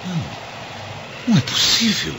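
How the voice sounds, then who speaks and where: An actor playing an older Roman senator, a concerned and conservative friend, in a radio drama with an emphatic, counselling manner and a Brazilian accent. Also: male voice, high voice, lively intonation, normal speed. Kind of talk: Portuguese-language radio drama acting. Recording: clearly noisy, ambience behind.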